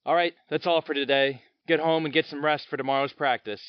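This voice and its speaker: A man's voice: on the high side, fairly even intonation, a little fast, smooth, no echo, dull audio, close to an everyday voice. A man playing a stern basketball coach who barks orders at his players.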